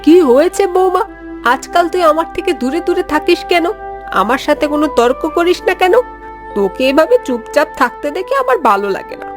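A woman's voice: high-pitched, very animated, brisk, over music. A woman voicing a quarrelsome, self-pitying mother-in-law in a cartoon, with a scolding, whiny manner. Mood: puzzled. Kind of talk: cartoon voice acting.

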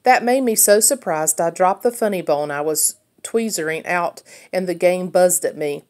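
A woman reading a children's book aloud in an expressive storytelling style. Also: fairly low pitch, normal speed. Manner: acts out dialogue as a child narrator's story. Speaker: read-aloud reader.

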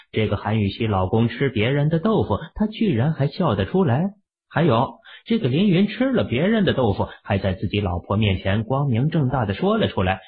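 A man narrating a serialized audio drama in an expressive storytelling manner. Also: medium pitch. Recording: tinny phone-like audio.

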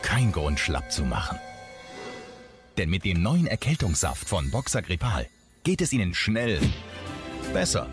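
A man's voice: low-pitched, wildly swooping pitch, unhurried, long pauses, over music. A man reading a polished, punchy scripted commercial voice-over as an announcer. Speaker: advertising announcer.

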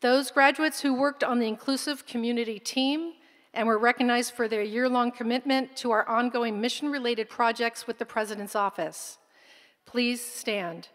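A woman's voice: a little high, steady tone, a bit bright. Woman announcing honors in a big hall. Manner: formal, deliberate announcing. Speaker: school administrator announcing honors. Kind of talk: ceremony announcements. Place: large concert hall stage. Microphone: podium microphone. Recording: long hall reverb, faint crowd.

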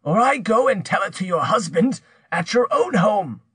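A vengeful older uncle out of a storybook melodrama acted out by a male narrator. His voice is pushed up high and swings widely as he threatens and accuses with vehement menace. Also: sing-song, normal speed, husky, no room sound, exaggerated voice. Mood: vehement, accusing.

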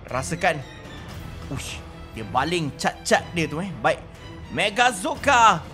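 A Malaysian man with a high, bright, forceful voice commentates on a game as roleplay. He is excitable and exclamatory, stretching words out for emphasis, with game music running behind him. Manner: animated, exclaiming, drawn-out shouts. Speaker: gaming video host.

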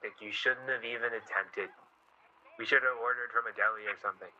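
Radio play: male actor as embittered, teasing newspaperman, sardonic and rough-edged, hard bright tone. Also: medium pitch, steady tone, brisk, highs cut off. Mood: wry, teasing.

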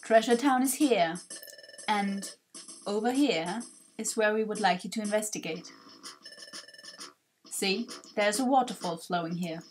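A woman voicing a bossy, businesslike bird who gives out orders in a video game, formal and brisk. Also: medium pitch, a little hiss or hum, natural voice, low-quality recording. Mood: stern, businesslike.

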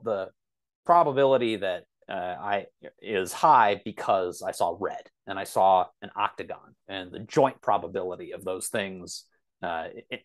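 A man talking as a podcast guest, explaining things casually and thinking aloud as he searches for words. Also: fairly low pitch.

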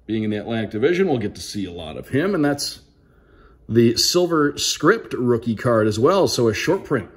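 An American man with a mid-pitched voice gives casual commentary while unboxing. He sounds like a sports broadcaster, calling out names crisply in a play-by-play manner. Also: big pitch swings, normal speed. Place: indoors at a tabletop, small room.

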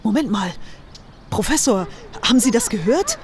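An actress playing a sharp, suspicious scientist's assistant in a German audio drama, brisk and challenging. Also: female voice, fairly high pitch, very animated.

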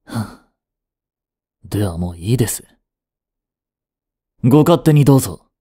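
A man's voice: medium pitch, lively intonation, unhurried, dark tone, breathy edge. A young man playing a stern, overprotective butler who speaks formally and earnestly, often sighing. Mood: scolding. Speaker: voice actor. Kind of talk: Japanese voice drama acting.

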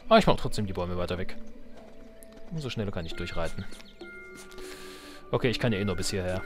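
A man's voice: low voice, animated, fast talker, music in the background. A man giving casual let's play commentary, thinking aloud in colloquial speech. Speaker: let's player.

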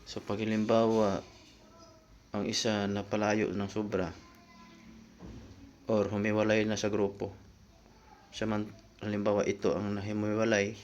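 A man's voice: lowish voice, level tone, unhurried, pauses often, a little mellow, muffled recording, a little hiss or hum. A man talking casually as a vlogger, explaining things step by step and addressing his viewers informally. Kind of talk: casual explainer vlog talk.

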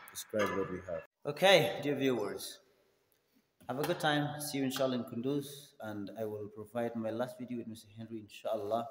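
A man's voice: on the low side, fairly bright tone, in a large hall, slight background noise. A man in his twenties with an Afghan accent, narrating a casual vlog as a tour guide in short, repetitive phrases. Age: 20s. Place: indoors in hotel halls, rooms and dining room. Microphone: phone at arm's length.

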